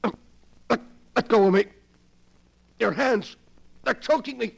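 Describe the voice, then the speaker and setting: An actor playing a terrified man being strangled, choking and gasping in halting panic, in 1940s radio horror style. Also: extremely high pitch, creaky, husky, heavily acted.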